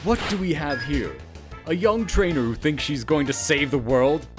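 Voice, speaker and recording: A man's voice: fairly high pitch, moderate pace, close to an everyday voice. A man voicing a cocky, easily offended cartoon villain with a pompous, taunting delivery. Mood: mocking, menacing. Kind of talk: over-the-top cartoon parody acting. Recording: music under the voice.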